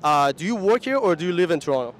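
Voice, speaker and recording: A man's voice: a little high, animated. Polite young man questioning passersby on a street. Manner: polite, repeats answers back, quick follow-ups. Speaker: street interviewer. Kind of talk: vox pop street questions. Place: busy downtown street corner outdoors. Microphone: handheld mic. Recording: traffic noise outdoors.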